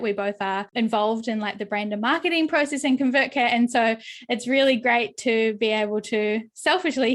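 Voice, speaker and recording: A woman's voice: on the high side, a bit bright. A young adult woman with an Australian accent hosting a podcast interview, upbeat and chatty with frequent "um" and "like". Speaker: podcast host and brand coach.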